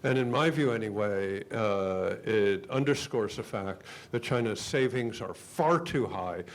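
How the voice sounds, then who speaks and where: Lower-voiced guy on a panel, lots of uhs, thinking out loud. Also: animated, unhurried. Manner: hesitant, filler-heavy analysis. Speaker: panel guest. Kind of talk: panel discussion answer.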